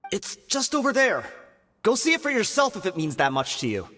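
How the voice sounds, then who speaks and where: A man's voice: high-pitched, wildly swooping pitch, a little fast, pushed and bright, exaggerated voice. An actor playing a cowardly male bandit guard who stammers and talks his way out of blame, in a video game. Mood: dismissive. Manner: nervous, stammering, defensive. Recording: game audio with reverb and music.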